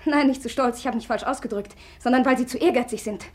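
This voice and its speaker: A woman's voice: a little high, fast, close to an everyday voice. An actress playing Aglaya, a proud and determined young woman, speaking in a commanding, cutting way in a 1950s radio play. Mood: cold determined.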